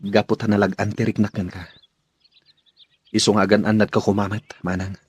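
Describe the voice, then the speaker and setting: A man's voice: fairly low pitch, flat delivery, brisk, darkish tone, husky, dry recording, muffled recording. A man playing an earnest, respectful young fighter in a radio drama, speaking with deference. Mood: respectful, grateful.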